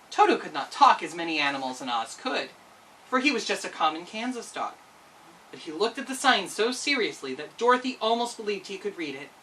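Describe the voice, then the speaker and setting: A woman reading a children's story aloud in an expressive narrating style. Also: fairly low pitch, distant mic, slight background noise, rough recording. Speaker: storytime reader.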